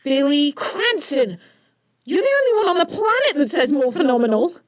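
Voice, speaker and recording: An excitable teen science whiz played by a woman in an audio drama, with a high, very animated voice. The delivery is geeky, eager and expressive, recorded close and dry. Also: normal speed, dry recording. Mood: intrigued, probing. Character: eager, brainy teenage inventor hero.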